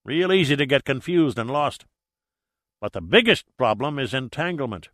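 A man's voice: medium pitch, animated, moderate pace, fairly bright tone, no echo, clean studio sound, lightly acted. A man voicing a hearty, blunt Irish sea captain and seasoned diver in an audiobook, with salty, colloquial talk. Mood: grave warning. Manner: colloquial, salty, vivid storytelling. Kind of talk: audiobook character dialogue.

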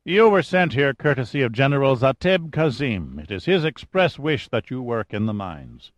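A man voicing a calm, cold middle-aged male mine boss who threatens in a matter-of-fact way. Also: medium pitch, dry recording, voice pushed up, lightly acted. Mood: cool, controlled. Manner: measured, matter-of-fact menace.

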